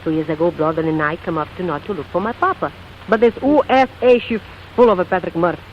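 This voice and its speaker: An actress playing a spirited, cheeky Brazilian secretary with a Brazilian accent and exclamatory broken English, in 1940s radio comedy style. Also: female voice, on the low side, quick pace, slight background noise, natural voice. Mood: feisty. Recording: muffled vintage 1940s radio broadcast.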